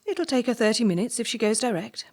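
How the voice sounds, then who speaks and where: A woman playing a ruthless, entitled young assessor in a stage play, brisk, condescending and coolly domineering under a veneer of charm. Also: fairly high pitch, expressive, darkish tone. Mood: cool, clinical.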